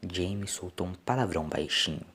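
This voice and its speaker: A man's voice: sits low, normal speed, sharp tone, slightly rough. A man with a Brazilian accent giving a dramatic scripted narration of a science-fiction story.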